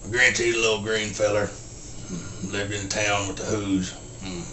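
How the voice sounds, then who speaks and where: A man voicing a slow, simple-minded rural Southern man, telling a folksy story in halting, deadpan short sentences with grunted hums and a Southern US accent. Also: fairly low pitch. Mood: deadpan, plodding. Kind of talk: folksy storytelling, film character impression. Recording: roomy echo, faint background noise.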